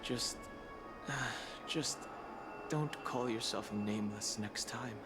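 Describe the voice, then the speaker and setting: A man's voice: medium pitch, takes it slowly, natural voice. An actor playing a cool, formal, reserved man in a video game, with a precise, dry, disapproving delivery. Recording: game music behind the voice.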